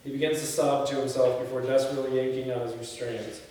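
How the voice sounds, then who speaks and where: A man's voice: medium pitch, level tone, fast, dark tone, a little hiss or hum. A man at a table read, reading screenplay directions and chatting casually with the group. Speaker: script reader at a film table read. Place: echoey indoor room. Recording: very echoey room, far from mic.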